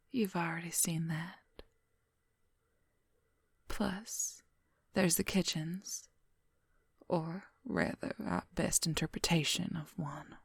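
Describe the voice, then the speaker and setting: A woman voicing an affectionate, teasing werewolf lover in a soft, intimate ASMR whisper, speaking gently and playfully into a close microphone. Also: lowish voice, creaky, husky, no echo, heavily acted. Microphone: close microphone, dry.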